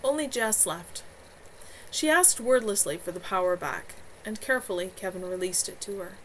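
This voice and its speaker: A woman's voice: medium pitch, moderate pace, slight background noise, rough recording. A woman author reading her own novel aloud live from home, earnest, with a few small stumbles.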